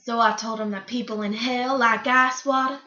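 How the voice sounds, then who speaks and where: In a roomy recording, a woman acts out a dreamy yet defiant female country singer in a stage monologue. Her voice is very high and bright, and she tells her story in a folksy, dramatic way with hesitant pauses. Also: steady tone. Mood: confiding. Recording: roomy, slightly dull recording.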